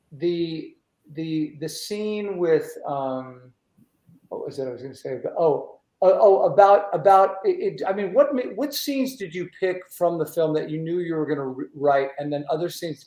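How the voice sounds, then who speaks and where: Higher-voiced man hosting, in a roomy space. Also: mellow. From United States. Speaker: talk show host. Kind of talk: casual interview chat. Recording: roomy echo.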